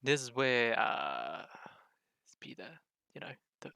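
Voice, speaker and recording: A man's voice: mid-range voice, very animated, takes it slowly, vocal fry, pushed and bright, smooth, no room sound. A man streaming a game, giving casual, playful live reactions as he plays.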